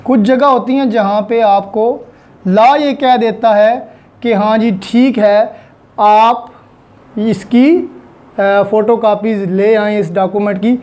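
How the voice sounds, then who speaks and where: A woman with a Pakistani accent and a dark, mellow voice at a middling pitch. She lectures didactically, explaining points step by step and dropping in English technical terms. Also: steady tone, measured pace. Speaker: law lecturer. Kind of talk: explanatory online lecture with everyday examples.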